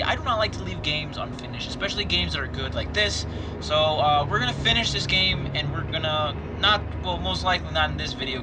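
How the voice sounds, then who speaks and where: A man's voice: a little high, background noise. A man hosting a gaming video, chatting loosely and reading a story game aloud, rambling with many fillers and restarts. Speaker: gaming channel host. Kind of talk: casual let's play commentary, reading a story game aloud.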